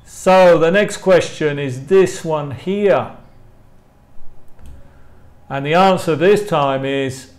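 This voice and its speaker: A man's voice: a little high, a little mellow. A man with an English accent teaching a language lesson, enunciating carefully and repeating phrases. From England. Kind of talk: live online language lesson, reading model questions and answers.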